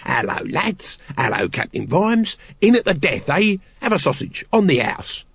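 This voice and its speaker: A man's voice: fairly high pitch, big pitch swings, moderate pace, a bit bright, breathy edge, no room sound, muffled recording, pitched above the performer's own voice, full character voice. A man voicing a wheedling, whiny male street sausage seller in a storybook reading, with sing-song sales patter. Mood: hawking.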